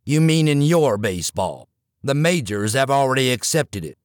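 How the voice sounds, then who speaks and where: A man's voice: medium pitch, big pitch swings, normal speed, no room sound, studio quality, close to an everyday voice. A man reading the part of a male assistant coach, controlled and defiant.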